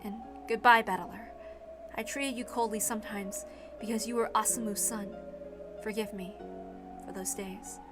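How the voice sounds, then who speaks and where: A woman voicing a solemn dying mother saying farewell in a quiet, halting, earnest way, in a dramatic reading. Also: medium pitch, fairly even intonation, over music, voice pushed down, close to an everyday voice. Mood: resigned farewell.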